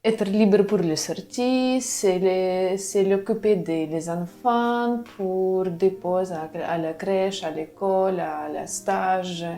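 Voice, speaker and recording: A woman in her thirties from Ukraine, with a Ukrainian accent, giving a halting interview testimony and searching for her words. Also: medium pitch, dark tone. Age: 30s.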